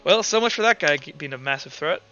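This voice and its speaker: A man serves as game master, improvising the action of a tabletop game and thinking aloud as he goes. His voice is fairly high and forward, bright in tone. Faint music plays under a slightly dull recording. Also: animated, normal speed.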